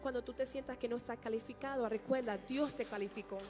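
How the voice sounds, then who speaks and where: Very fast female church interpreter. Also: fairly high pitch, fairly even intonation, a little mellow, faint music behind. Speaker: sermon interpreter. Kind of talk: consecutive interpreting of a sermon. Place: church stage. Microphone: handheld microphone.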